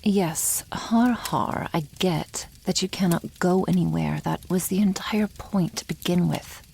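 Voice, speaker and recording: Slow, creaky-voiced teasing dragon girl acted by a woman. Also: fairly low pitch, a little hiss or hum. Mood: teasing, haughty. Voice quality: soft, breathy, often near whisper. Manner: slow, teasing, wry, condescending to humans. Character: proud, teasing young female dragon, protective elder sister. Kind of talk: ASMR roleplay, addressing the listener.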